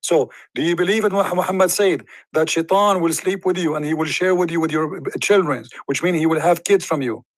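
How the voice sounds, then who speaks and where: A man's voice: a little high, fairly quick, few pauses, dry recording, poor audio. A man hosting a confrontational debate, pressing and sarcastic, cross-examining his guest. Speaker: debate host.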